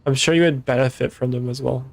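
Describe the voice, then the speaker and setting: A man's voice: medium pitch, steady tone, quick pace, creaky, no echo. A man reading as a shaken woman seer. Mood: anxious. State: hoarse, tired voice. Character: troubled young woman psychic seer.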